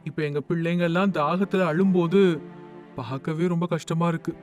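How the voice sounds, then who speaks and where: A thirsty, humbled village neighbour in a cartoon storybook, pleading for water in a high voice, as a man acts him out. He sounds worn down and apologetic. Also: fairly even intonation, fairly quick, faint music behind, close to an everyday voice.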